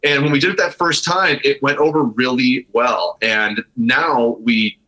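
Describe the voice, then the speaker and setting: A man's voice: medium pitch, fairly even intonation, a little slow, pushed and bright, rough recording. A man speaking casually and enthusiastically as a guest in a conversational interview. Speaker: guest, brewery co-owner.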